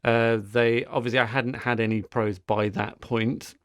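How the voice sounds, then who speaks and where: A man's voice: medium pitch, flat delivery, a little slow, clear, resonant tone, no room sound, studio quality. A man co-hosting a podcast, telling a story in casual, rambling chat with frequent restarts. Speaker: podcast co-host.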